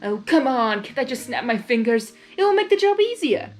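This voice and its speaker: A woman's voice: sits high, sing-song, fairly quick, darkish tone, pitched above the performer's own voice. A woman voicing a proud, grumbling male creature of chaos, theatrical and exasperated, in a story. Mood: protesting. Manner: exasperated, blustering.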